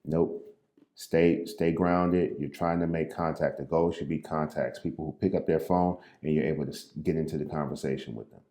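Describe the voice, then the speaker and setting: A man from St. Louis, a real estate coach, giving an impromptu talk to camera while standing at his call desk, with a naturally low, somewhat monotone voice and a loose, conversational delivery. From Midwest US, St. Louis. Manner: unhurried, loose and conversational, with repeated words and fillers. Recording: echoey room.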